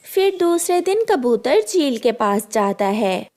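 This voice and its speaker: A woman storyteller reading scripted narration for an animated children's tale. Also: high voice, takes it slowly.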